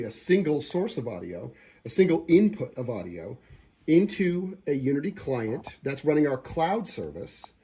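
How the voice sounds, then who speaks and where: A man's voice: medium pitch, a little mellow, slightly rough. A man presenting an informal hands-on tech tutorial, explaining conversationally and often rephrasing his points. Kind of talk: informal hands-on software and hardware demo.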